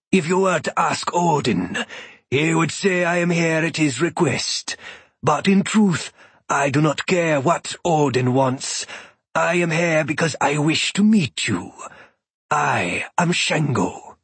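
A man's voice: fairly high pitch, expressive, a bit bright, slightly rough, dry recording, voice pushed up, full character voice. Shango, a man playing a courtly thunder god. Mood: courteous. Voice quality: formal, measured speech without contractions. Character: polite god of thunder, bare-chested warrior.

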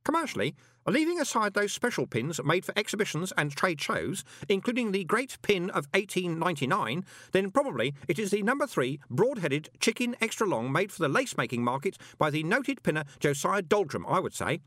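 A man's voice: high voice, sing-song, fairly quick, a bit bright, dry recording, studio quality, voice pushed up, exaggerated voice. A man voicing an earnest, obsessive teenage boy who speaks in a precise, scholarly way.

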